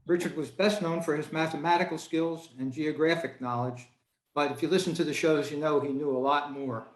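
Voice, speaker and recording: Higher-voiced American man in echoey room. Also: steady tone, a little fast. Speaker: club member introducing the guest. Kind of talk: prepared formal introduction. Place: indoors on a video call. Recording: echoey room on video call.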